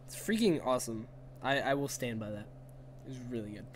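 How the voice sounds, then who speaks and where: A young adult man co-hosting a gaming podcast with casual, slangy, excitable banter over a headset. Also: mid-range voice, brisk, over a noisy crowd. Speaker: podcast co-host and game streamer. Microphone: headset, online call. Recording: faint background noise.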